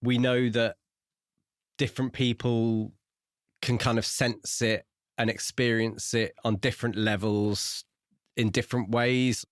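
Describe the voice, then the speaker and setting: A man's voice: mid-range voice, little pitch movement, a little slow, dry recording, clean studio sound. A man co-hosting a book podcast, talking through stories in a casual discussion style. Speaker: podcast co-host.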